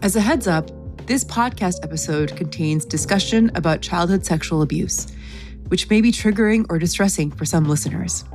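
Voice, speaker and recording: Calm woman podcast host. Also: lowish voice, normal speed, soft background music. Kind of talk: scripted intro and interview questions.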